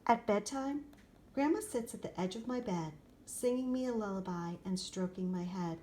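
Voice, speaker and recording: A woman reading a children's picture book aloud expressively, in short, deliberate phrases. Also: medium pitch, animated, mellow. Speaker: storybook reader. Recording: clear background noise, room ambience.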